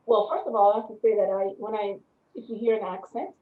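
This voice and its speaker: A woman in her sixties from Panama speaks with a West Indian accent. She talks at an everyday pitch, rambling and laughing often as a podcast guest. Her voice comes through a narrow, tinny phone-like line. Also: fairly even intonation, quick pace. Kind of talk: casual interview.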